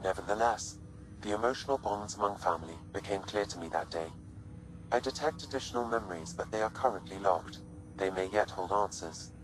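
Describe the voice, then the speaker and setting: An actor voicing a calm, curious artificial intelligence in a video game, formal, measured and analytical. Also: male voice, on the low side, noisy background, natural voice. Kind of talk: video game cutscene acting.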